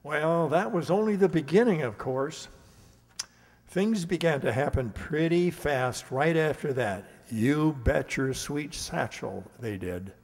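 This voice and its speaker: Man as a slow, folksy radio narrator. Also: a little high. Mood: wry. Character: folksy male narrator recounting the events. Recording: echoey hall with long reverb.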